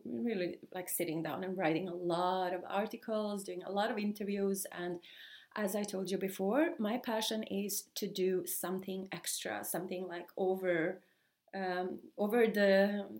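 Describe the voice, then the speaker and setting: A young woman speaking English with a Swedish accent, talking through a solo podcast intro in an eager, chatty way. She stops often for "um" and "uh" and restarts her sentences. Her voice sits at a medium pitch and has a noticeable gravelly fry. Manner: chatty and excited, many fillers and restarts. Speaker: podcast host.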